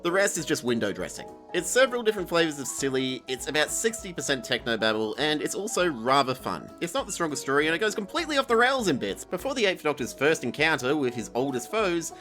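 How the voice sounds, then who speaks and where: A man's voice: a little high, big pitch swings, fast, hardly any pauses, sharp tone, soft background music. A man giving a casual, scripted review in a wry, conversational way. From Australia. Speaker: reviewer, host.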